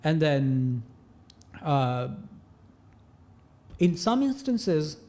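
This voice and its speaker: A man from Nepal in his thirties with a Nepali accent, a guest talking through his experiences in a casual interview, pausing often and filling gaps with "uh" and "you know". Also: fairly high pitch, big pitch swings, mellow. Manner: thoughtful, hesitant, many fillers like "uh" and "you know". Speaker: podcast guest.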